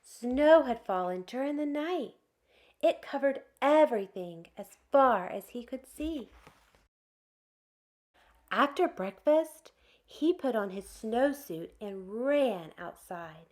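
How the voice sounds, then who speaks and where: A woman reading aloud to young children as a storytime host, expressive, stretching out key words and putting questions to her listeners. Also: a little high, takes it slowly.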